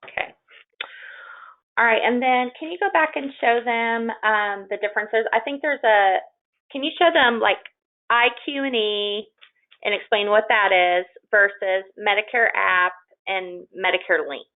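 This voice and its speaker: A woman of average pitch moderates an informal webinar. She talks conversationally, hesitating and rewording as she goes. Her audio is narrow and tinny, like a telephone line. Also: unhurried. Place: online or phone conference call. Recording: tinny, phone-like call audio.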